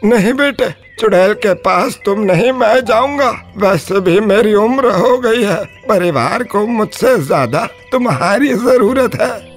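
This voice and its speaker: A man playing a solemn village elder in an animated Hindi horror story. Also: high-pitched, a little slow, faint music behind. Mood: pleading, tender. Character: solemn village headman or self-sacrificing elderly father.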